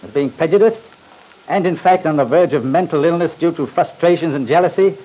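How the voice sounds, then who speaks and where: Actor as a scornful, higher-voiced courtroom attorney. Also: male voice, fairly quick, warm tone, lightly acted, rough recording. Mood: pompous, accusing. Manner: pompous, formal, sarcastic. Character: scornful, badgering male attorney, pompous and theatrical. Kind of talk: old-time radio drama acting.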